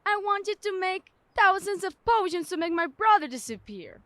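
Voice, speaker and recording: Little girl, very high voice, animated, bossy, theatrical, audio drama. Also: moderate pace, dry recording, full character voice. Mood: bossy, playful. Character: imperious, playful seven-year-old girl playing fairy queen.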